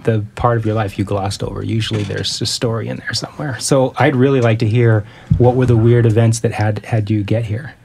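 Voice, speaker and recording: A man's voice: lowish voice, steady tone, fairly quick, creaky, darkish tone, a little hiss or hum. A man hosting a casual podcast, who laughs often and stumbles over his segues. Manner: laughs often, fumbles transitions. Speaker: podcast host.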